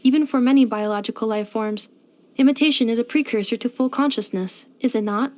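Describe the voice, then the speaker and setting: Woman acting a polite robot girl, higher-pitched voice, earnest, exact machine-like phrasing, game character lines. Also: a little mellow, close to an everyday voice. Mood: calm, reflective. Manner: formal, precise, measured delivery with clinical machine-like phrasing. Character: polite female robot maid, earnest, gentle and literal-minded.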